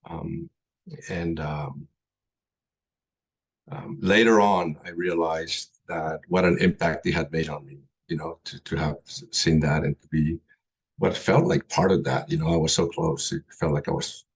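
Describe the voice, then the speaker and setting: A middle-aged man who grew up in Colombia, giving a personal talk as a guest speaker, halting with many pauses and restarts. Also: very deep voice, steady tone, husky, low-quality recording.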